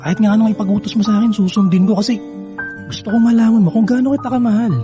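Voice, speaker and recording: An actor playing an earnest, lovestruck young suitor in a Filipino radio drama, pleading and sweet-talking with a Filipino accent. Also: male voice, high-pitched, steady tone, very fast, music in the background, natural voice. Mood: pleading, romantic.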